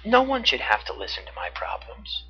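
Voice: mid-range voice, huge pitch swings, moderate pace, dull audio, slight background noise. A narrator voicing a shy, tender little girl in a confiding storybook manner. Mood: hesitant. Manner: confiding, girlish. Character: shy, tender little girl who adores her daddy.

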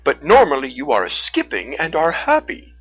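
A narrator voicing a big, gentle, caring brown bear in a deep, friendly storybook voice. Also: huge pitch swings, moderate pace, slightly rough, highs cut off. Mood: reassuring. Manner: friendly, reassuring.

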